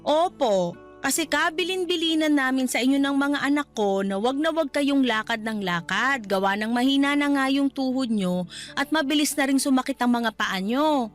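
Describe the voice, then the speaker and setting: A woman's voice: sits high, normal speed, soft background music, natural voice. A woman playing a caring, cheeky middle-aged daughter who nags respectfully in a Tagalog radio drama. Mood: exasperated. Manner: respectful but nagging.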